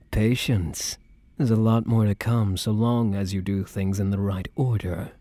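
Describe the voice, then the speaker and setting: Man acting as a mellow, low, teasing boyfriend. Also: dry recording. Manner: soft-spoken, teasing, wry, casual. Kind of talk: boyfriend ASMR roleplay, intimate scripted acting.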